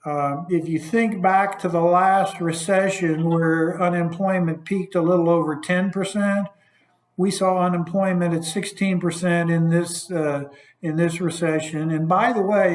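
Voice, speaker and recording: Finance guy on a conference call, kinda high voice, lots of uhs and restarts, echoey room. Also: darkish tone. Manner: loose and unscripted, many "uh" fillers and restarts. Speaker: investment advisor. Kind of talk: conference call market outlook. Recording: roomy echo.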